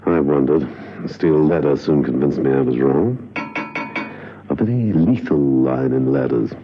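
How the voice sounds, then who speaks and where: An actor playing an unruffled, wry gentleman agent in a classic spy radio drama, suave and dryly understated. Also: extremely low pitch, measured pace, faint music behind. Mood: wry, alert. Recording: dull, noisy radio recording.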